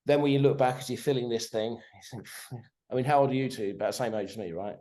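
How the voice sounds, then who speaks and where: A man in his forties, a podcast guest on a video call, talking in a sweary, animated way and tagging his points with "right?". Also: medium pitch, quick pace. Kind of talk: casual conversation.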